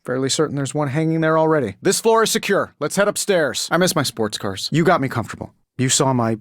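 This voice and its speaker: An actor voicing a cocky, wisecracking genius inventor superhero in a video game, with an American accent and a sarcastic, glib delivery. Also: male voice, a little high, expressive, quick pace, no echo, studio quality, lightly acted. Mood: playful, wry. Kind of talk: video game character voice lines.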